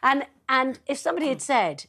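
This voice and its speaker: A woman's voice: sits high, sing-song, fairly quick, sharp tone. A woman chatting casually as a panellist in a news discussion. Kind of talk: casual studio panel chat.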